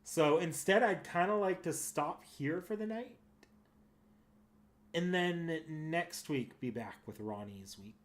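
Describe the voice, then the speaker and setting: Rambling male streamer thinking out loud. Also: medium pitch. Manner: rambling, hesitant, often self-correcting. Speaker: live game streamer. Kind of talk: casual unscripted stream chat, thinking aloud.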